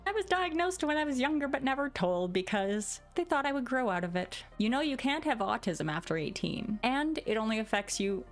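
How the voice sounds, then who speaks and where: A woman's voice: fairly high pitch, sing-song, fairly quick, hardly any pauses, faint music behind. A woman hosting a vlog, reading posts dramatically and commenting on them in a sarcastic, theatrical way. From Canada.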